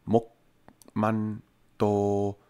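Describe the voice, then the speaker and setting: A young man who is a native English speaker, haltingly repeating phrases as a language learner and often trailing off. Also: on the low side, monotone, mellow, clean tone, no room sound. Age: young adult. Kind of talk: repeating Korean phrases in self-study. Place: small room at home, at a computer.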